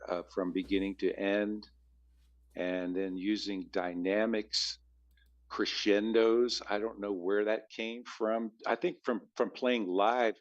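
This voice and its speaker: A man with a very low bass voice, answering interview questions as a musician guest, rambling with frequent restarts. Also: steady tone, takes it slowly, highs cut off.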